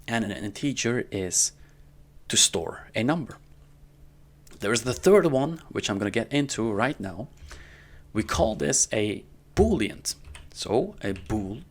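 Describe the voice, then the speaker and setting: A man giving a casual, step-by-step coding tutorial in an informal way, often checking in with the listener, with a gravelly voice. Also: medium pitch. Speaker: programming tutorial instructor. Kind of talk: casual screen-recorded coding lesson. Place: indoors at a computer.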